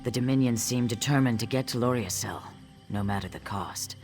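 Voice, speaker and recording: A man's voice: mid-range voice, a little fast, a little mellow, natural voice. Man playing a sober fantasy soldier, music behind. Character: dutiful, sober male soldier on watch. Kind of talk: fantasy video game dialogue.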